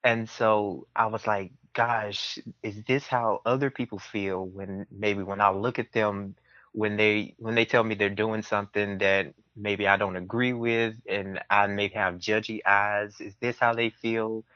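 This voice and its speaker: A man's voice: fairly low pitch, level tone, unhurried, dry recording, muffled recording. A man, telling personal stories casually as an interview guest. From southern United States. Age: early 20s. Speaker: podcast guest.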